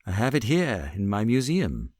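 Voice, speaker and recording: Guy playing a suave british detective in an audiobook, bland polite confidence, knows it all, very expressive pitch. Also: moderate pace, no room sound, studio quality. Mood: cheery, teasing. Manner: bland, smooth, assured.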